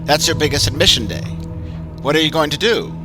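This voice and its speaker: A man's voice: a little high, brisk, music in the background, pitched above the performer's own voice, lightly acted. A man voicing a concerned, skeptical male best friend who questions in disbelief. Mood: incredulous.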